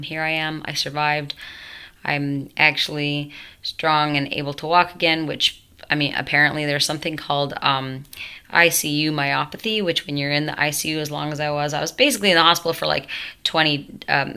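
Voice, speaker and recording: Low gravelly woman, voice altered by tracheotomy. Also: bright, forceful tone. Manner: rambling, self-interrupting, many fillers and asides. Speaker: vlogger. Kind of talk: casual storytelling to camera.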